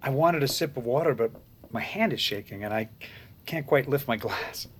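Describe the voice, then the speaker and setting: An actor playing a proud, ailing president who sounds weary and wounded, in intimate audio drama dialogue over music. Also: male voice, medium pitch, animated, normal speed, husky. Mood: frail, tender.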